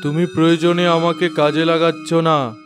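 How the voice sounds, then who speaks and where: A man's voice: on the high side, flat delivery, moderate pace, faint music behind, lightly acted. A man voicing a generous but sternly moral magic money box in a cartoon. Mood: stern, reproachful. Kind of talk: cartoon character dialogue.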